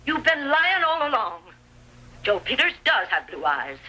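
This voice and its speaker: An actress playing a feisty, devoted frontier sister with rustic American speech in an old radio Western. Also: female voice, high voice, very animated, a little slow, sharp tone, heavily acted. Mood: defiant. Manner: rustic, spirited, blunt. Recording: vintage radio recording, tinny.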